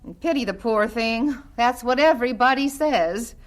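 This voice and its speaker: A woman's voice: fairly high pitch, normal speed, close to an everyday voice. A woman playing a blunt, gossipy small-town postmistress in a radio drama. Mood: disapproving. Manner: folksy, nosy, opinionated.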